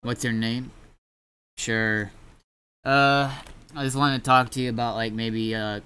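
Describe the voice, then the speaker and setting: A man chatting casually in an online roleplay game, in a halting, rambling and informal way. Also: medium pitch, fairly even intonation, bright, forceful tone, clean tone. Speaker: streamer playing a gang leader in online roleplay.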